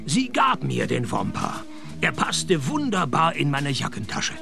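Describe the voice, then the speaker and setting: Casting: dry private detective, gravelly, slightly high register, wry radio-play narrator. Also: male voice, unhurried, music in the background, exaggerated voice. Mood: dry, deadpan. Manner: dry, laconic, deadpan. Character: wry, unimpressed male private detective narrating his case. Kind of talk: scripted first-person radio crime comedy narration.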